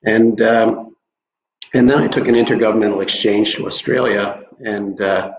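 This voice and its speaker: A Canadian man in his sixties introducing himself casually on a video call from home, relaxed with many fillers. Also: lowish voice, moderate pace, vocal fry, slight background noise. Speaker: panelist. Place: home room at night, indoors. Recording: echoey, dull video call audio.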